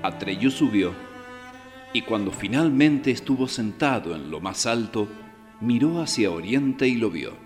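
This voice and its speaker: A man's voice: fairly low pitch, lively intonation, measured pace. A man narrating a radio drama in a scripted, dramatic style. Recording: reverberant hall sound. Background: faint music.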